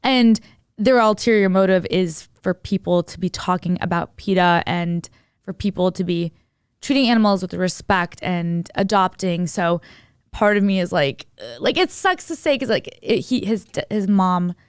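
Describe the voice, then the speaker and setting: A woman's voice: fairly low pitch, lively intonation, normal speed, clear, resonant tone, no room sound, studio quality. A young woman co-hosting a podcast, chatting casually and giving her opinions in slangy, conversational language peppered with "like". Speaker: podcast co-host.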